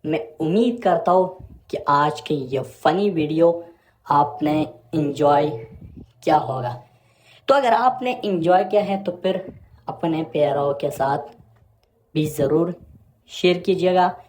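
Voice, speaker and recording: Slow-speaking man with faint background noise. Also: on the high side, a little mellow. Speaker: video channel host. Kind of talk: channel outro narration addressed to viewers.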